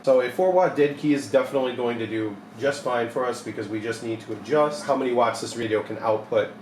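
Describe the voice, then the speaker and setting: A man's voice: mid-range voice, fast, a little mellow. A young man giving an informal hands-on repair tutorial, explaining step by step in a plain-spoken way. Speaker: repair technician demonstrating a modification. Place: small cluttered electronics repair workshop, indoors. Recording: echoey room with clear background noise.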